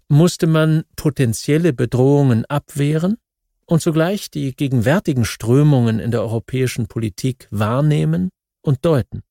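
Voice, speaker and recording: A man reads scripted historical non-fiction as an audiobook narrator, in a measured, formal manner with deliberate emphasis. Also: medium pitch, dark tone, no room sound, clean studio sound.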